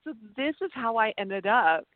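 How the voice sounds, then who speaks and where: A German-born woman whose first language is German, speaking English without an accent as an interview guest, telling animated stories and restarting her sentences often. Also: fairly high pitch, normal speed, dry recording. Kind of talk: casual interview chat. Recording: tinny phone-like line.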